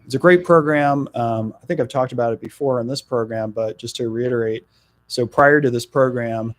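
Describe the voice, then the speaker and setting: A middle-aged man from New Jersey, a political candidate talking in a radio interview, earnest and halting with frequent fillers. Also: medium pitch, no echo.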